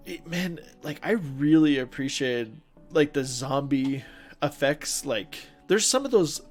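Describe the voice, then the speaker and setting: A young man co-hosting a casual podcast, talking with enthusiasm and saying "like" a lot. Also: fairly high pitch. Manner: enthusiastic, peppered with "like". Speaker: podcast co-host. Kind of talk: casual chat.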